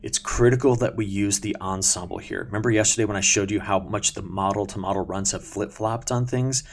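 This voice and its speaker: Low, gravelly man giving a weather briefing. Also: a little fast. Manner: conversational, talks viewers through visuals step by step. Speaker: weather forecast presenter. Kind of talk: informal explanatory weather briefing over maps.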